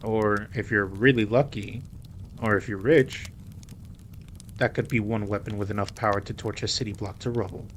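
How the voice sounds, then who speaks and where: A man's voice: on the low side, steady tone, normal speed, a bit bright, slight background noise, lightly acted. A man playing a protective, easygoing mafia boss with a wry streak in an audio roleplay. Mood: matter-of-fact.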